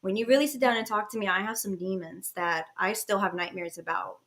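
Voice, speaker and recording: A woman's voice: medium pitch, fairly quick, crowd noise. A woman in her late twenties hosting a casual podcast chat in a candid, personal way. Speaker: podcast host.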